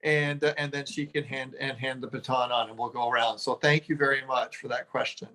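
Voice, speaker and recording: A man speaking on a webinar panel about careers in diplomacy, halting and often restarting his sentences. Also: medium pitch, fairly even intonation, bright, forceful tone, rough recording.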